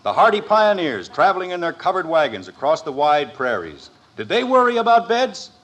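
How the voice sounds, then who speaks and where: A man's voice: a little high, big pitch swings, normal speed, fairly bright tone. An American actor playing an exasperated, blustering middle-aged father in a 1950s radio sitcom. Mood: persuasive, enthusiastic. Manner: blustery, oratorical. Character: exasperated, blustering suburban father who talks up adventure. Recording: old noisy radio recording.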